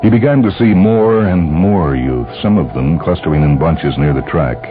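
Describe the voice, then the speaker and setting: A man's voice: low voice, moderate pace. A man reading a scripted story as a dramatic audiobook narrator. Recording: dull, band-limited lo-fi audio. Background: clear background music.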